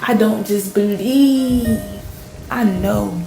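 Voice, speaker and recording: A woman's voice: medium pitch, drawn-out words, creaky, over music. A woman playing a storm-shaken fisher in a radio play, recounting a surreal vision at sea in intense, colloquial storytelling. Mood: resolute. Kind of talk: dramatic radio play monologue. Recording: roomy, echoing sound.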